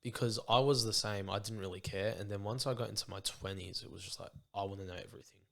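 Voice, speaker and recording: A man's voice: lowish voice, fairly even intonation, fast, vocal fry, fairly bright tone. A young Australian man hosting a podcast, chatting in a laid-back, earnest way and calling his guest bro and mate. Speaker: podcast host. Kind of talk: casual chat.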